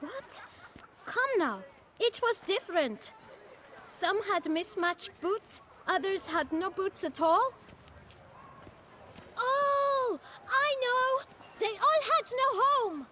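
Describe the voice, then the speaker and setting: A cheeky street urchin boy played by a woman with a very high, swooping voice. He is a chatty little bootblack in a fantasy game, all folksy patter, with faint ambience around him. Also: animated, slow pace, long pauses, sharp tone, a little hiss or hum, heavily acted. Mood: evasive. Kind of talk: video game voice acting.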